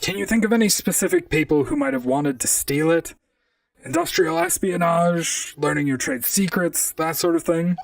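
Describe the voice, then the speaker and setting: A man playing a wry, deadpan robot private detective in a noir audio drama, both narrating and talking to others. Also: high-pitched, moderate pace, creaky, dry recording, distant mic, exaggerated voice. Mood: businesslike. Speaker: narrator and lead character.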